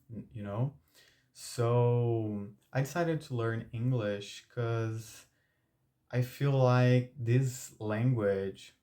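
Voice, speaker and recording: A man's voice: fairly low pitch, darkish tone. A young Brazilian man with a Brazilian Portuguese accent, a native Portuguese speaker, talking to camera for his channel in a hesitant way with many fillers and restarts. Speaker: YouTube channel host. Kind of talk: unscripted talk to camera.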